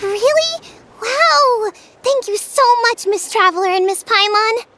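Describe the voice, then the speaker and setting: A woman's voice: very high-pitched, lively intonation, unhurried, pushed and bright, slight background noise, full character voice. A woman voicing an earnest, anxious little village child in a video game, with a light, childlike voice. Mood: eager. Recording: music behind the voice.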